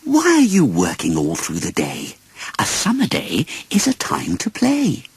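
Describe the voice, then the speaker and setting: A man's voice: mid-range voice, huge pitch swings, measured pace, slightly rough, dry recording, voice pushed up, exaggerated voice. A man voicing a carefree, cocky grasshopper in a storybook, with a jaunty, singsong, teasing delivery. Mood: breezy, teasing. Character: carefree, cocky male grasshopper, singing idler.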